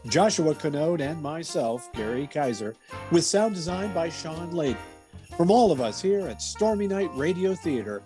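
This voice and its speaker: Mellow, animated male radio announcer. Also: on the high side. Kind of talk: scripted radio show sign-off. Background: music with jingle bells.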